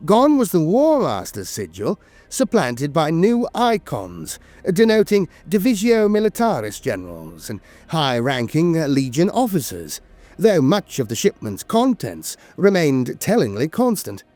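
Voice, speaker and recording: A man's voice: on the high side, wildly swooping pitch, unhurried, darkish tone, no room sound. A man narrating scripted lore as a storyteller, with a grave, dramatic delivery.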